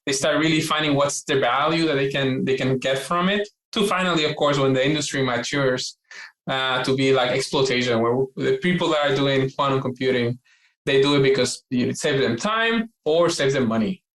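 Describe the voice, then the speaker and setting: A man in his forties with a Puerto Rican accent speaks as a podcast guest. He talks eagerly and at length, repeats words and fills his pauses, at a mid pitch. His voice carries a roomy echo. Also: a bit bright. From Puerto Rico. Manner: eager and talkative, many fillers and repeated words. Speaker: guest, scientist. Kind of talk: podcast interview.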